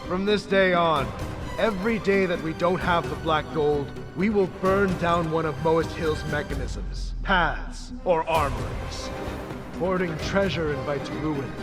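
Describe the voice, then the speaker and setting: An actor playing a menacing, cold villain in a video game, speaking with deliberate, ominous weight. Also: male voice, a little high, music in the background, natural voice. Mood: cold menace. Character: menacing male villain issuing cold threats. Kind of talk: dramatic video game acting.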